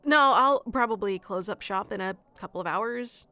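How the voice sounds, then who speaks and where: A woman playing a sweet, flustered florist secretly in love with a best friend, in an intimate audio roleplay with a hesitant, soft-hearted manner. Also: mid-range voice, normal speed, natural voice. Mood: friendly, teasing. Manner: hesitant, soft-hearted, breaking into nervous chuckles and sighs. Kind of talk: intimate ASMR roleplay acting.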